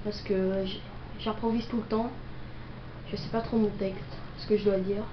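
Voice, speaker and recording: A woman's voice: medium pitch, level tone, normal speed. Young woman, has a cold, hesitant gameplay commentary, echoey room, background noise. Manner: hesitant, many fillers. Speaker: gameplay video maker. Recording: roomy, dull lo-fi audio with noise.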